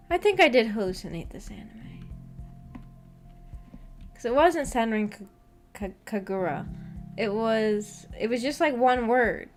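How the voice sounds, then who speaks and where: A young woman with a fairly high voice hosts a casual livestream. She rambles along in a giggly, self-deprecating manner, talking to her viewers. Soft music plays behind her. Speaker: livestream host.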